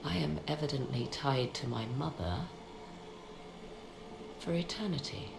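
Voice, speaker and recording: A woman voicing a proud, guarded sorceress in a video game, with formal, deliberate, theatrical phrasing. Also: sits low, vocal fry. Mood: grave, troubled. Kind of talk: dramatic video game dialogue. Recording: echoey, noisy game scene capture.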